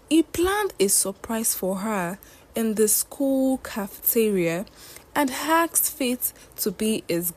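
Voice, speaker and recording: A woman tells a scripted folktale in a mid-pitched voice. Her delivery is slow and measured, with animated intonation that moves freely up and down as she narrates. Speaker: storyteller.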